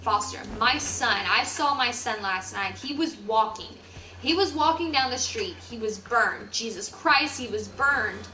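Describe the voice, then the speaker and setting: A woman's voice: a little high, lively intonation, unhurried, sharp tone. Woman reading as a panicked mother on a police call. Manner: panicked, pleading. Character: frightened mother calling the police. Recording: echoey room, distant mic, music behind.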